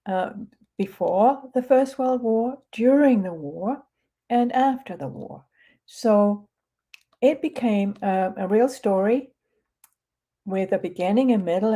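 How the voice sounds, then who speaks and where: An older woman from England with a British accent, an author chatting casually about her book, relaxed and chatty with frequent pauses. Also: mid-range voice.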